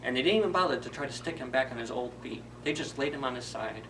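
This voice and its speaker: A man's voice: mid-range voice, animated, quick pace, distant mic, noisy background. A man retelling a story for children as a library storyteller, informally, in simple phrases with questions to the listeners.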